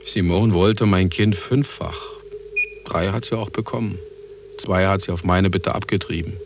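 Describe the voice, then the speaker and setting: Aging, gravelly, very deep-voiced obsessive. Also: male voice, steady tone, unhurried, background noise. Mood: insistent. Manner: theatrical, insistent, swinging between coaxing and brooding. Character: aging, obsessive, theatrical man pleading for a child. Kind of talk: German radio drama acting.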